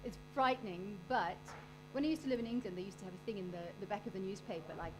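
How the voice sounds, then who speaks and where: A woman's voice: mid-range voice, quick pace, noisy background. A woman in her forties hosting a casual chat show, telling stories with brash, joking asides. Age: 40s. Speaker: host.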